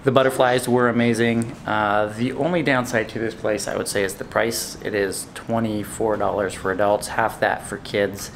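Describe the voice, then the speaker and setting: A man in his forties chats through a travel vlog on a desert trail, holding the camera at arm's length. His voice sits a bit low, and his manner is relaxed and explanatory. A faint outdoor hiss runs underneath. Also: normal speed, hardly any pauses, rough recording. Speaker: vlog host. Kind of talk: casual travel vlog narration. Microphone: camera held at arm's length.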